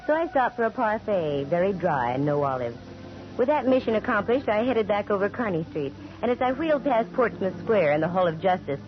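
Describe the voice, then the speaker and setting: A woman's voice: mid-range voice, close to an everyday voice. Woman acting a wry girl detective, vintage radio. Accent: American. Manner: dry wisecracking, wry. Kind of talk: 1940s radio acting, first-person narration. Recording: muffled vintage 1940s radio broadcast.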